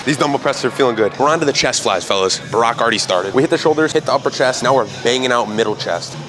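A man's voice: mid-range voice, animated, quick pace, music in the background. A young man talking casually on a lapel mic in a big indoor gym, joking and coaching through a workout. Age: young adult. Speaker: fitness vlogger. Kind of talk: casual workout vlog talk.

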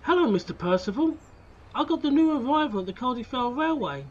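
A woman's voice: high-pitched, expressive, fairly quick, lo-fi sound, slight background noise. A woman voicing a cheerful young male tank engine who talks eagerly and chattily, with music underneath.

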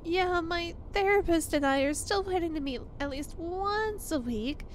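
A woman's voice: extremely high pitch, expressive, unhurried, slight background noise. A woman playing a clingy, obsessive young girlfriend in an ASMR roleplay, sweet, gushing and emotional, with an apologetic, hesitant way of talking. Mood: earnest, clingy.

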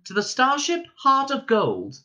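A man voicing a calm, sensible female starship announcer in a storybook, pleasant and soothing, with measured stewardess-like phrasing. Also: extremely high pitch, voice pushed up, exaggerated voice. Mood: pleasantly reassuring.

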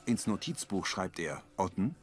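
A man's voice: deep voice, moderate pace, faint music behind. Man reading scene descriptions. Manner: plain, even reading of scene directions. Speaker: audio description narrator. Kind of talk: scripted narration.

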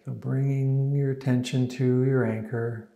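Slow, mellow man guiding meditation. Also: medium pitch, little pitch movement, gravelly. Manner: unhurried, gentle pauses, soothing. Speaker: meditation teacher. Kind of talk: guided meditation instruction. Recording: echoey, roomy sound.

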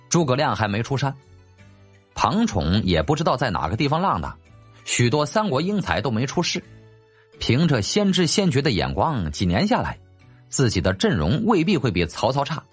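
A man reading a novel as scripted audiobook narration in an animated, dramatic way. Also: medium pitch, husky.

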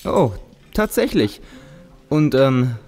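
An actor playing a curious, easily surprised man in an audio drama, asking halting questions. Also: medium pitch, big pitch swings, darkish tone. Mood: curious surprise. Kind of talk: German audio drama dialogue.

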